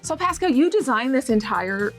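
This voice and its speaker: A woman's voice: high-pitched, very animated, normal speed, music in the background. A woman correspondent chatting enthusiastically while browsing a shop. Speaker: show correspondent. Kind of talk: casual on-location chat.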